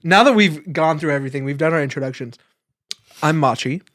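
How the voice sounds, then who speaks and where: Young man in casual, animated podcast chat. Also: fairly high pitch, fairly quick, no echo, studio quality. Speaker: podcast co-host.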